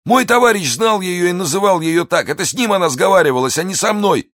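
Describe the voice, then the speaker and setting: A man voicing a wounded, cowardly male soldier who pleads for his life in desperate, high, strained cries. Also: animated, fast talker, fairly bright tone, dry recording, clean studio sound. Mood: pleading, terrified. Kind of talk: audiobook character voice.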